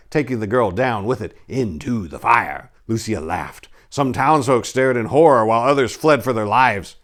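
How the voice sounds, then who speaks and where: A man giving a dramatic, grave reading of a scripted fantasy story, with a theatrical storyteller's delivery. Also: mid-range voice.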